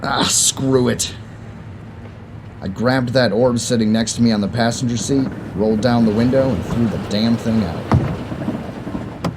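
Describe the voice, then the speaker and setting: A young man narrating and acting a first-person audio drama, moving between calm storytelling and panic. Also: mid-range voice, unhurried, pauses often, noisy background. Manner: expressive, swinging from calm narration to panicked outbursts.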